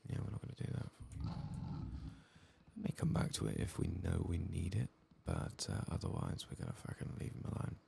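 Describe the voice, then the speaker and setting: A man doing soft-spoken, whispered ASMR game commentary in a hushed, breathy, gravelly voice, murmuring without hurry. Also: low-pitched, huge pitch swings, a little fast, slight background noise. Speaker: ASMR game playthrough host.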